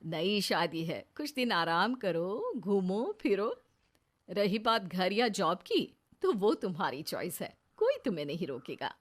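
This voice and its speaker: A woman's voice: mid-range voice, sing-song, measured pace. A woman voicing a kind, protective mother-in-law who talks in a caring, easygoing way. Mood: kind, reassuring. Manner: reassuring, caring.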